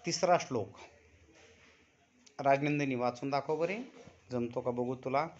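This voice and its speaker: A man with a Marathi accent teaching a Sanskrit class online, instructive and deliberate in his enunciation. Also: mid-range voice, a little mellow. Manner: instructive, enunciates deliberately, prompts students with questions. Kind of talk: online class instruction with chanted verse recitation. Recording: dull, muffled online class audio.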